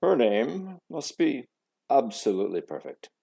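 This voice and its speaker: Guy doing a proud papa mouse from a picture book, savors every word, husky. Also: medium pitch, sing-song, unhurried, warm tone, exaggerated voice. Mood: adoring. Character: proud, adoring storybook mouse father.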